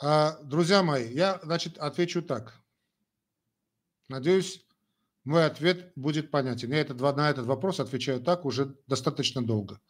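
An older man with a slightly higher-pitched voice, talking as a doctor on a live stream. He speaks bluntly in short, emphatic phrases. His talk is loose and full of fillers. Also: normal speed, slightly rough. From Armenia. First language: Armenian. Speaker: doctor answering viewer questions. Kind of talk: live-stream Q&A, frank and chatty.

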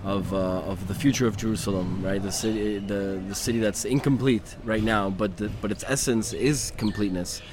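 A lowish male voice, thoughtful and unhurried as he gropes for words, talking outdoors with clear street noise around him. Originally from Venezuela, he hosts a relaxed chat. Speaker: host. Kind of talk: casual conversation. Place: outdoors in a city. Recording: noisy outdoor street recording.

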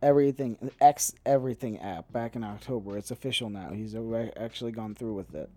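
A man co-hosting a casual nerd-news podcast, talking in a halting way and often stumbling over his words. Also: lowish voice, mellow, no echo. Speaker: podcast co-host.

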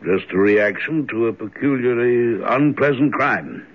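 An actor playing a troubled older reverend father, measured and conciliatory, in a 1970s radio drama. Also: medium pitch, close to an everyday voice. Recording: muffled, slightly noisy old radio broadcast.

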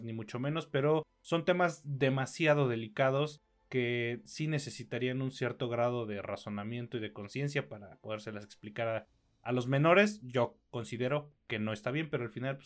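A man with a Mexican accent chatting casually as he reviews films on a podcast, talking discursively with explanatory asides. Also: medium pitch. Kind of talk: casual film review chat.

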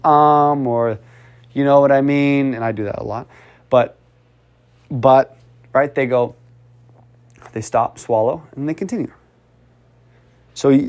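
A man giving casual coaching advice on speaking, animated and repetitive, often sighing and urging the listener on. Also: mid-range voice. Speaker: speech coach.

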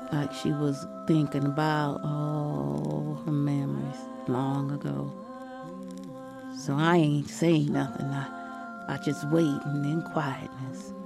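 Woman as a slow-speaking young granddaughter. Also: deep voice, vocal fry, heavily acted. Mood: wondering. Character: young Southern granddaughter narrating, curious, naive, tender. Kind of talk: dramatic audio storytelling.

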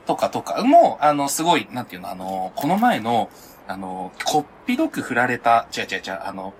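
A man chats casually as a podcast co-host, his pitch swinging in lively arcs. His talk is campy and animated, like gossip among friends. A faint layer of noise sits behind him. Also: a little fast. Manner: campy, self-described "onee" talk.

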